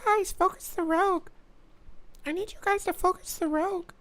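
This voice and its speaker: A man putting on a soft, sugary young woman's voice as a joke on a game stream. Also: very high-pitched, fairly even intonation, normal speed, voice pushed up. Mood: urgent pleading. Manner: breathy soft-spoken ASMR-style delivery. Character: sweet high-voiced young woman, soft and fake-soothing. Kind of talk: joking live-stream bit.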